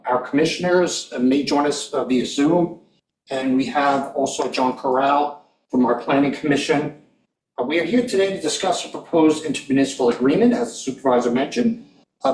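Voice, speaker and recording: A man, a county planning director, politely addressing a town board with many uhs. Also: mid-range voice. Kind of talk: formal presentation at a public meeting. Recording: echoey room from far off.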